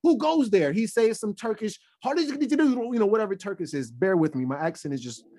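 A man co-hosting a podcast, retelling history in a casual, animated way with many restarts. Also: high-pitched, a little fast. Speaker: podcast co-host. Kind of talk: casual storytelling chat.

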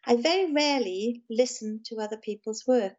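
A woman from South Wales with a South Welsh accent, talking calmly and gently as an interview guest about her music and books. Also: fairly high pitch, normal speed, muffled recording. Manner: gentle, calm, chatty with small laughs. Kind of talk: casual interview chat.

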